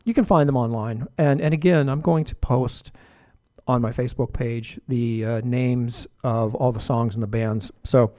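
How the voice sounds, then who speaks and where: Mellow-voiced middle-aged man hosting radio. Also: medium pitch, moderate pace. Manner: relaxed, rambling, full of fillers and restarts. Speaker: radio show host. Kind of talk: casual radio DJ chat between songs.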